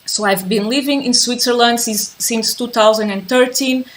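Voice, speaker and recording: Calm woman teaching a live class. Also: fairly high pitch, moderate pace, slight background noise, low-quality recording. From Azores, Portugal. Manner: calm, patient, repeats herself while waiting for viewers. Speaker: yoga and mindfulness teacher. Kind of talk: live-streamed class introduction.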